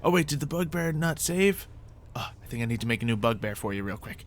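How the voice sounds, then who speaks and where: A man's voice: medium pitch, animated, quick pace, a little hiss or hum. A man running a tabletop role-playing game, casually explaining rules and characters to the players. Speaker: game master.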